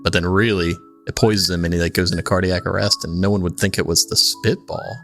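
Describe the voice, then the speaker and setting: A man's voice: low voice, fairly even intonation, fast, gravelly, a bit bright, faint music behind. A man hosting a podcast with casual, crude joking banter. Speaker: podcast host.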